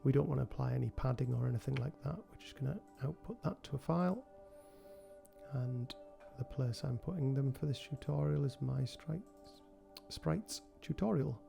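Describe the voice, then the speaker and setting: A man with a cold hosting a relaxed software tutorial, talking through each step with frequent ums. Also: mid-range voice, vocal fry. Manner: relaxed, thinking aloud with many ums. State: has a cold. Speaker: game developer tutorial host. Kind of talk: screen-recorded software tutorial.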